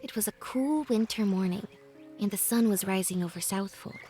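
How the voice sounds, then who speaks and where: Wistful young female student played by an actress, medium pitch, gentle reflective game narrator, music underneath. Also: moderate pace, close to an everyday voice. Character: thoughtful, wistful young woman student leaving home. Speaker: narrator and protagonist of a puzzle adventure game. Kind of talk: scripted first-person storybook narration. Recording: music behind the voice. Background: background music.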